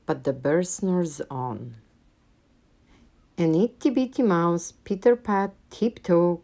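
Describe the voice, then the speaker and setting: A woman's voice: deep voice, warm tone. A woman reading a children's picture book aloud as a storyteller, with dramatic, rhythmic delivery.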